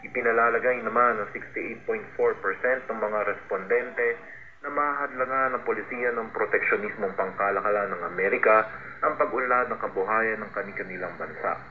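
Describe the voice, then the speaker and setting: Male Filipino radio newsreader, formal scripted delivery, crisp forceful edge, lightly noisy shortwave signal. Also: fairly low pitch, brisk. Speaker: radio news reader. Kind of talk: formal scripted news reading.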